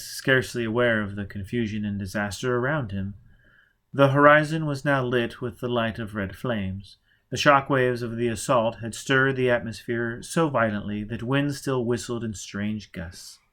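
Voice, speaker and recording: A man's voice: medium pitch, normal speed, fairly bright tone. A man narrating a novel as an amateur audiobook reader, reading steadily and expressively, with occasional slips and self-corrections.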